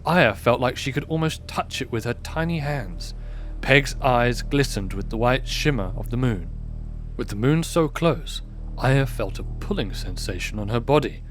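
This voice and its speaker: A man's voice: mid-range voice, expressive, moderate pace, soft background music. A man reading scripted story narration as the narrator of an audio drama.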